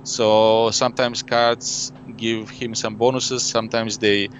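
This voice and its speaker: Low-voiced man explaining his board game. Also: little pitch movement, slow. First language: French. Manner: explains at length, with many fillers and "you know". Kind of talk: casual interview conversation. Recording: dull lo-fi audio with faint noise.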